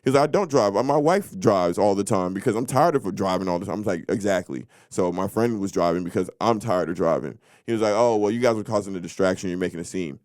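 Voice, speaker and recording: A young man with a very low voice tells stories on a casual podcast. He talks loosely and brings the scene alive by acting out what people said. The sound is close and dry. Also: fast talker, hardly any pauses, no room sound. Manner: animated storyteller, acts out quotes. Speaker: podcast co-host. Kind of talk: casual storytelling chat.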